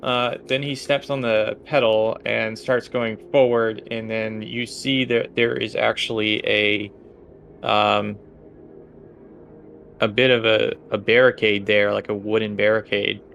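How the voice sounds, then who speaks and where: Guy game mastering, gravelly low voice, thinks out loud, bit of noise behind. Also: flat delivery, unhurried. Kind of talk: improvised narration of a tabletop game.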